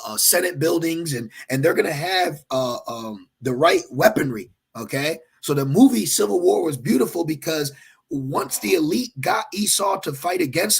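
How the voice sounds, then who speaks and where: A man's voice: fairly high pitch, creaky, slightly rough. A man preaching in an emphatic, repetitive way, with frequent fillers and checks like "okay?". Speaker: religious teacher. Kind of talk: preaching commentary.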